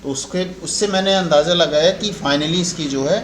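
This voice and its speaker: A man's voice: a little high, moderate pace. An Indian man giving an informal repair tutorial as a technician, explaining step by step and often repeating and rephrasing his points. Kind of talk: informal spoken repair walkthrough. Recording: roomy echo, faint background noise.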